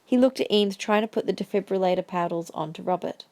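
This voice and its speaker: A woman's voice: mid-range voice, quick pace, darkish tone, no echo. A woman reading scripted story narration for an audio drama.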